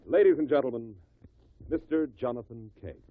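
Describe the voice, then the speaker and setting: A man's voice: medium pitch, animated, normal speed, no room sound. A male announcer delivers a dramatic vintage radio introduction. His mid-range voice is solemn and portentous, set against music on a narrow, tinny old broadcast recording.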